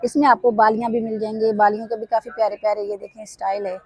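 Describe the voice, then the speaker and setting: A woman vlogger, hoarse from a sore throat, walking through market stalls and pitching bargains to her viewers. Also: little pitch movement, fast talker, no echo, poor audio. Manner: repeatedly addresses viewers, upbeat sales pitch. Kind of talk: casual walkthrough commentary. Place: busy weekly market stalls.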